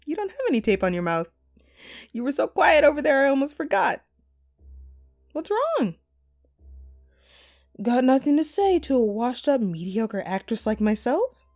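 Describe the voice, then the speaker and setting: A woman's voice: sits high, big pitch swings, normal speed, long pauses, darkish tone, soft background music. A woman playing a vengeful, sadistic jilted actress in a horror audio roleplay, mocking and theatrical, with menacing playfulness. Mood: menacing, toying.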